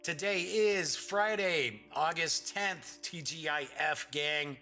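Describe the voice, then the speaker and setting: A man hosting a casual board game news show, chatty and upbeat, his voice a bit congested and raspy. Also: on the high side, takes it slowly, pushed and bright, soft background music. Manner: chatty, upbeat, reads press releases aloud.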